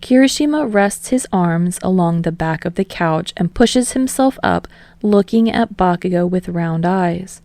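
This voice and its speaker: A woman's voice: fairly low pitch, expressive, measured pace, warm tone, no echo. A woman reading a story aloud as scripted audiobook narration. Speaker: audiobook reader of fan fiction.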